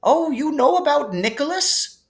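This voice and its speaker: A man voicing a kindly, whimsical elderly wizard headmaster in a storybook reading, with calm, gently formal phrasing. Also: very high voice, a little slow, pitched above the performer's own voice, exaggerated voice. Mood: delighted. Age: older. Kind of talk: audiobook character reading.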